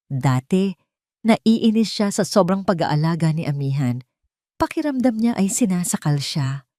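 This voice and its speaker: A woman narrating a scripted audio drama in a steady, measured storytelling manner. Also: on the low side, no echo, studio quality.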